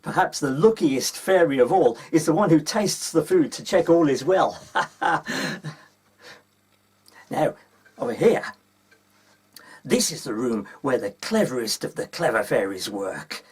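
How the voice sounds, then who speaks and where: A man with a husky voice reading a children's story aloud as a storyteller. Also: a little high, animated, unhurried, pauses often.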